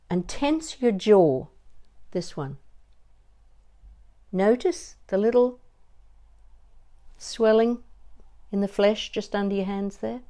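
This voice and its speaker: An older woman giving a conversational demonstration as a voice coach, deliberate and emphatic, pausing between short phrases. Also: medium pitch, warm tone.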